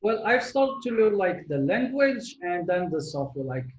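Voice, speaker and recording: A man's voice: sits high, big pitch swings, normal speed, dull audio, a little hiss or hum. Moroccan man, rambling with echo. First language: not English; French second language. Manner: rambling, many fillers and restarts. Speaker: guest artist. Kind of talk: casual interview chat.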